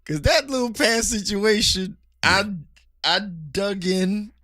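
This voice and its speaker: A man's voice: high-pitched, animated, takes it slowly, pushed and bright, no room sound, studio quality. A man from Philadelphia co-hosting a podcast, ranting passionately about church culture and often restarting his sentences. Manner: passionate, rambling, many restarts. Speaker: podcast co-host. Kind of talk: casual church-culture chat and rant. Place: studio table with co-host.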